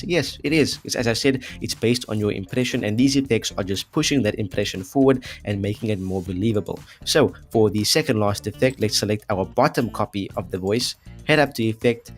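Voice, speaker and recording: Chatty man hosting a casual tutorial. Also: on the low side. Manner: chatty, jokey, informal. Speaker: host of audio effects tutorials. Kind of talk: casual scripted tutorial narration.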